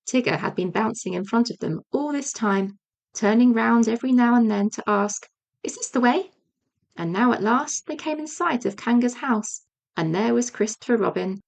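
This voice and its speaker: A woman's voice: fairly high pitch, lively intonation, normal speed, creaky, darkish tone. A woman reading a children's storybook aloud as audiobook narration. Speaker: audiobook reader.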